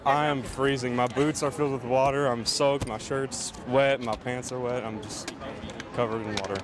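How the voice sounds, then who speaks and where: Casual student, outdoor noise behind. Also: male voice, medium pitch, steady tone, normal speed. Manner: casual, plain. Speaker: fire science student. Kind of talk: interview.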